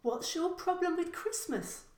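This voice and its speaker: A woman's voice: very high voice, animated, a little slow, darkish tone, roomy sound, pitched above the performer's own voice. A woman voicing a mild, weary, good-natured middle-aged man in a story, plain-spoken. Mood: puzzled.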